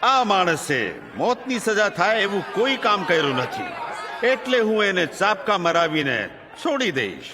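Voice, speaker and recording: An actor playing a commanding, questioning Roman governor in an audio Bible drama, declaiming with authority. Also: male voice, high-pitched, sing-song, measured pace, noisy background. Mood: firm. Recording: long hall reverb, music and crowd behind.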